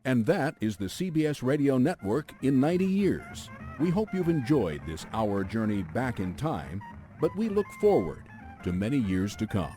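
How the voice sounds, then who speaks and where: Mellow, lively male anchor over faint music. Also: medium pitch, normal speed. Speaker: radio anchor and host. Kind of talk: scripted sign-off narration.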